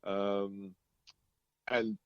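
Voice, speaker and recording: Dull-sounding, low-voiced man. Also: level tone, smooth, no echo. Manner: informal, halting, circles back over phrases. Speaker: band singer-guitarist being interviewed. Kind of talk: casual interview chat.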